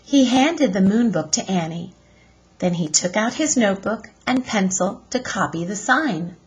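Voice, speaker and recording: Woman, husky tone, slow pace, deliberate expressive storytelling, teacher reading to children. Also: medium pitch, rough recording. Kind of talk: children's chapter book read-aloud.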